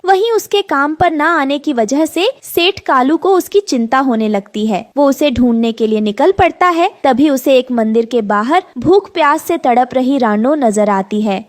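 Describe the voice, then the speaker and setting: Female cartoon narrator: high voice, scripted storytelling delivery, dry studio-close sound. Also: dry recording.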